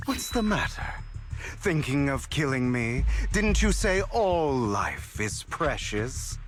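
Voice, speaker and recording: A man's voice: mid-range voice, heavily acted. An actor playing a suave, treacherous man in a video game, mocking and theatrical in manner. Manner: taunting, theatrical. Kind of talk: dramatic video game voice acting. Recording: loud music behind the voice.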